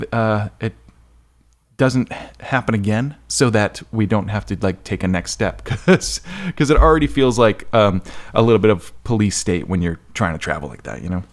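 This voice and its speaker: A man hosting a podcast interview in a casual, informal way, thinking aloud with some hesitation. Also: on the low side. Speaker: podcast host interviewing an author.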